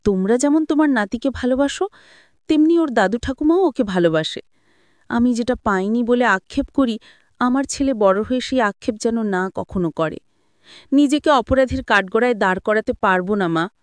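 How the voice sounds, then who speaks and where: A woman voicing a principled young married daughter in an audio story, earnest, gently firm and reasoning. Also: a little high, expressive, normal speed, dry recording, natural voice. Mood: hurt, firm.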